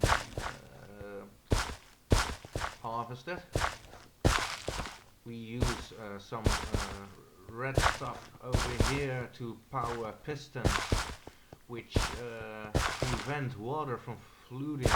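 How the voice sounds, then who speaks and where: A man's voice: mid-range voice, very noisy. A young Dutch man with an accent, hesitantly narrating a tour of his game server with many fillers. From Netherlands. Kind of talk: casual screen-recorded walkthrough.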